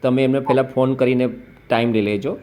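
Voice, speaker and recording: A man's voice: mid-range voice, steady tone, fairly quick, mellow. Man patiently advising a caller. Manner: patient, instructive, explains step by step. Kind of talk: phone call advice.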